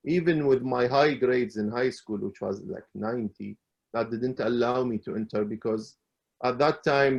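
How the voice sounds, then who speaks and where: A middle-aged man from Iraq, a calligrapher, telling his story as an interview guest in a halting way, with many fillers and restarts. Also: medium pitch, a little mellow, dull audio.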